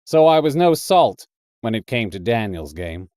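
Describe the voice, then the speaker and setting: Guy doing a tough american drifter telling his story, deliberate and hard-boiled, dry close sound. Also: mid-range voice, very animated, a little mellow, no echo, lightly acted. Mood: brooding, deliberate. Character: scarlet-bearded thickset tough purgatory drifter, male narrator. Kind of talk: dramatic first-person short story narration.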